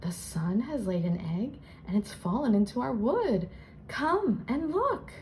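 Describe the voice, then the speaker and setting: A tiny storybook elf girl played by a woman reader, excitable and earnest. The voice sits high and bounces in an emphatic sing-song with big swings of pitch. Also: measured pace, a little hiss or hum. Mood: excited, urgent. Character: small excitable young female elf.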